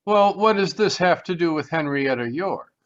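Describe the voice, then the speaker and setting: A man acting a formal, evasive, dismissive gentleman in an old-time radio mystery, heard over a dull video call recording. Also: fairly high pitch, lively intonation, moderate pace, close to an everyday voice. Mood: defensive. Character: smooth, evasive man fending off a detective. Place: remote live video call performance.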